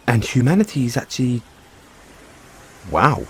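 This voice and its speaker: A man acts out a geeky mad scientist boyfriend in an intimate audio roleplay. His pitch swings widely while the pace stays unhurried, full of musing pauses and fond asides. There is faint noise behind the home recording. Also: big pitch swings, a bit bright. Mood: amused, musing. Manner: musing, halting, chuckling asides, drawn-out reactions.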